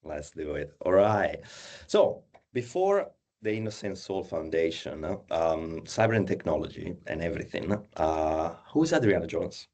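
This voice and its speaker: Deep-voiced man hosting, halting. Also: big pitch swings, a little mellow. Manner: halting, many filler "uh"s. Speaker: host. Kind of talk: casual live chat.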